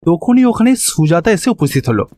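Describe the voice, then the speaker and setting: A man's voice: high-pitched, very animated, fairly quick, dark tone. A man narrating a scripted cartoon story as its storyteller.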